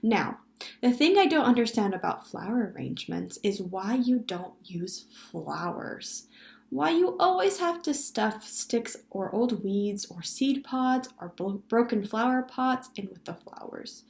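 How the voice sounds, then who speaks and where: A woman's voice: on the high side, expressive, a little slow, creaky. A woman voicing a stern, exasperated father in a children's story, with a commanding manner. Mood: firm.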